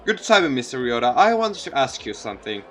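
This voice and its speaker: A man voicing an earnest, easily flustered teenage schoolboy, the protagonist of a visual novel, in a halting manner. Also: medium pitch, big pitch swings, a bit bright, soft background music.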